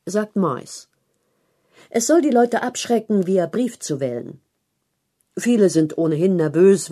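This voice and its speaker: Low, mellow woman reading a feature. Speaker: narrator reading a news feature article. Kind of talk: scripted narration of long-form journalism.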